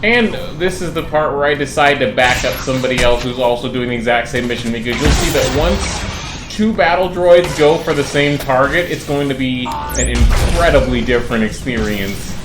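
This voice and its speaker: Male gaming creator, mid-pitched and playful, talking casually in a roomy space over loud music. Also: moderate pace. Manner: playful, chatty, uses silly made-up words. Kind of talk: casual gameplay commentary.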